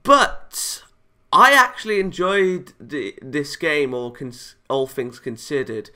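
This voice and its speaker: A man hosting a gaming video, reading a visual novel aloud and giving casual, rambling commentary in a halting way. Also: on the high side, animated, pushed and bright.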